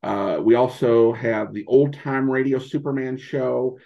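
Guy being interviewed on a podcast, gravelly voice, stumbles and restarts a lot, kinda muffled lo-fi sound. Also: mid-range voice, little pitch movement, dark tone. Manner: halting, hesitant phrasing with repeated false starts. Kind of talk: casual interview chat.

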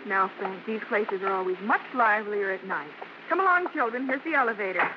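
A woman's voice: mid-range voice, expressive, a little fast, close to an everyday voice. Tender radio-drama mother, acted by a woman, muffled sound. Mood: placating. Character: tender, sentimental showbiz mother, devoted to her family. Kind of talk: 1950s radio drama acting. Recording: muffled vintage radio recording, noisy.

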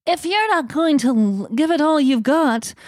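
A woman's voice: high voice, animated, moderate pace, dry recording, studio quality. A woman with an American accent chatting casually as a podcast co-host.

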